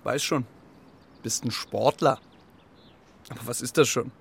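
A man's voice: medium pitch, measured pace, creaky, breathy edge. A man playing a cocky, boastful street pigeon in a children's radio play, swaggering and talking in clipped colloquial bursts. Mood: boastful, gruff. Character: cocky scrappy male street pigeon, boastful and gruff-hearted.

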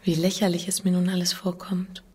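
An actress in a German radio drama playing an anxious, guilt-ridden wife in breathy, introspective inner monologue. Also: female voice, lowish voice, monotone, fairly quick, a little mellow, no room sound. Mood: defensive. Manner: introspective, breathy, often near whisper. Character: anxious, guilt-ridden married woman having an affair.

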